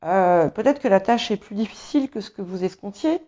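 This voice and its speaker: A woman's voice: mid-range voice, lively intonation, a little fast, dark tone, dull audio. A woman whose first language is English, giving a tarot reading in a hesitant way, with many fillers and restarts. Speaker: tarot reader. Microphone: small clip-on mic.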